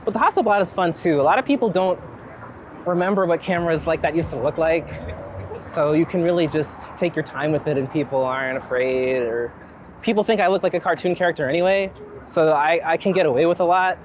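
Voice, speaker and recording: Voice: mid-range voice, fairly quick. An American from Philadelphia chatting casually in a wry, rambling, thoughtful way while out on a walk. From Philadelphia, United States. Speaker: street photographer being interviewed. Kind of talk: casual chat, walking interview. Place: outdoors on city streets. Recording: outdoor city street noise, traffic. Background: street ambience, passing vehicles.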